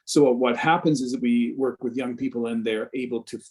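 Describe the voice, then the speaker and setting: Man, academic presenter on a video call; calm, fluent explanation. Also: mid-range voice, a little fast. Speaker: research presenter.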